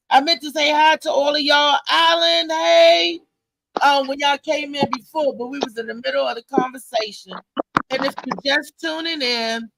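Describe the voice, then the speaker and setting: Lady hosting a live panel chat, sharp voice with some fry, emphatic, repeats herself. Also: sits high, no room sound, low-quality recording. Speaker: talk show host.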